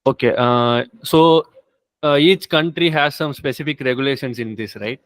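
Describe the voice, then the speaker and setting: Man, medium pitch, hesitant questioner, halting phrasing, seeks confirmation, dry recording. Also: no room sound. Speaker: webinar attendee asking questions.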